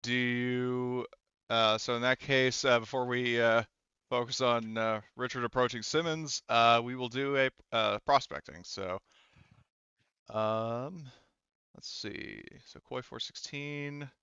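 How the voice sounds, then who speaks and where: A man's voice: mid-range voice, takes it slowly, sharp tone, smooth, no echo, highs cut off. A man acting as game master of a tabletop roleplaying game, talking casually and hesitantly as he searches for words.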